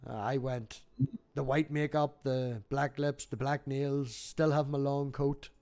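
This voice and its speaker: A man's voice: mid-range voice, flat delivery, measured pace, darkish tone. A middle-aged man chatting casually on a livestream, talkative and sweary, telling anecdotes. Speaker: livestream host.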